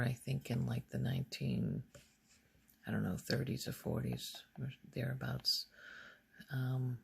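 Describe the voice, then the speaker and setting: A woman speaking softly in an ASMR ramble, breathy and near a whisper at times, musing hesitantly as she thinks aloud. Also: rumbling low. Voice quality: soft, breathy, often slipping toward a whisper. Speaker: ASMR video creator. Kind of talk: soft-spoken ASMR ramble.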